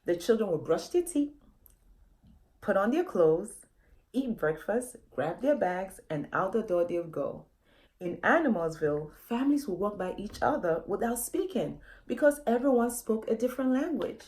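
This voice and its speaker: A woman's voice: mid-range voice, expressive, moderate pace, darkish tone. A woman author reading a children's story aloud, expressively, often addressing young listeners directly with questions.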